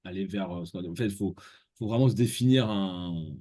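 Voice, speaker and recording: A man hosting a casual interview, relaxed and full of fillers and small agreeing sounds. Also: sits low, brisk, dry recording, clean studio sound. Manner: relaxed, frequent fillers and backchannels. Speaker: interview host.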